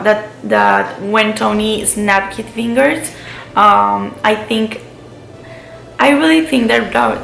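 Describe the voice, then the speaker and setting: Creaky-voiced woman gushing in a roomy space. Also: medium pitch, fairly bright tone. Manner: gushing, enthusiastic fan talk with repeated emphasis. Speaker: movie review vlogger. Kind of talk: casual film review chat to viewers. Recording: roomy echo, faint music behind.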